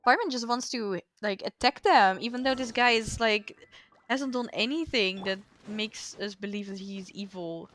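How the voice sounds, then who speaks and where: A woman's voice: mid-range voice, moderate pace, fairly bright tone, soft background music. A woman streaming a video game, chatting casually and musing aloud to herself as she plays. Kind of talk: casual video game playthrough commentary, reading dialogue aloud.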